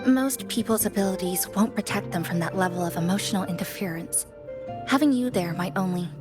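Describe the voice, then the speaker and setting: A woman's voice: medium pitch, brisk, close to an everyday voice. Woman playing a reserved young fighter over music. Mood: guarded. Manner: restrained, matter-of-fact. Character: reserved, serious young female fighter, guarded and analytical. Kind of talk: video game voice acting. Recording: music behind the dialogue.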